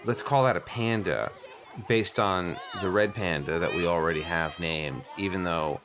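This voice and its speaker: Man playing a low-voiced, rambling inquisitive little boy. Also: moderate pace, close to an everyday voice. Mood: baffled, insistent. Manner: hesitant stammers, rambling run-on questions. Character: inquisitive, precocious eight-year-old boy, earnest and pedantic. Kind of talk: improvised comedy radio play.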